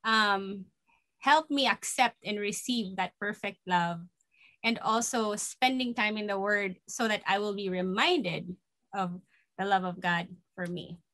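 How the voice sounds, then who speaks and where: Filipina lady in her 30s telling her testimony, crisp bright voice, dry sound. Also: mid-range voice, no room sound. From Philippines. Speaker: interview guest, worship band member. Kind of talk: personal testimony, mixing English and Tagalog. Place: indoors at home, on a video call.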